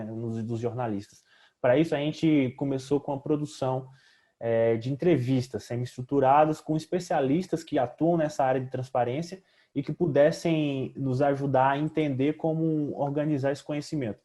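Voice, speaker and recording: A man's voice: medium pitch, dark tone. A young man with a Brazilian accent giving a semi-formal, explanatory project presentation as a student, repeating words hesitantly as he goes.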